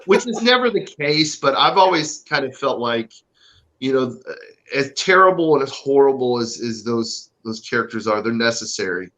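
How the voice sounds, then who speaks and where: A man answers interview questions in a casual, easygoing way. His voice is mid-pitched with a bright, crisp edge. He feels his way through sentences with some hesitation. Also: lively intonation, moderate pace, low-quality recording. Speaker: stage actor, interview guest.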